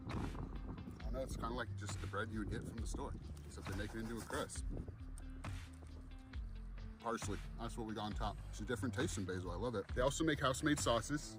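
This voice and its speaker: A man giving a casual, joking, off-the-cuff food review with some swearing. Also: on the low side.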